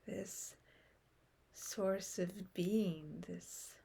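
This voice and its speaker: Slow, low-voiced woman guiding meditation. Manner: gentle, soothing, with soft breathy phrase endings. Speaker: meditation guide. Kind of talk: guided meditation.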